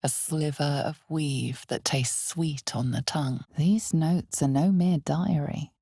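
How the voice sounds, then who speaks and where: A woman playing an ominous, knowing narrator of a dark fantasy video game, with a breathy voice and deliberate, dramatic storytelling. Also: low voice, dry recording, clean studio sound, full character voice. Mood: ominous, measured. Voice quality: breathy, husky, often near a whisper.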